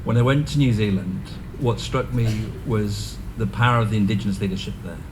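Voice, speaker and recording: Low-voiced Welsh man giving an earnest speech. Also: fairly quick, noisy background. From North Wales. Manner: earnest, with frequent ums and restarts. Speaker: guest speaker. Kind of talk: ceremonial speech. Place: community event venue.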